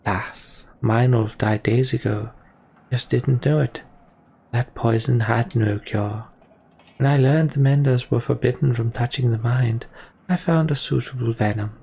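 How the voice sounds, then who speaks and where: A man voicing a scheming, menacing imprisoned manipulator in a video game, deliberate and taunting as he makes his speeches. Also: mid-range voice, creaky, dark tone, breathy edge. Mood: cold gloating. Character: scheming, menacing male prisoner and political manipulator. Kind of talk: dramatic reading of RPG dialogue.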